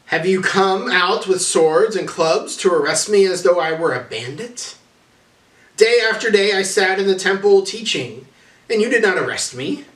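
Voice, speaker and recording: A man playing an urgent, resolute Jesus in a dramatic scripture reading, with forceful, declamatory delivery. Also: high-pitched, expressive, moderate pace. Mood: stern, impassioned. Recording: roomy echo, distant from the mic.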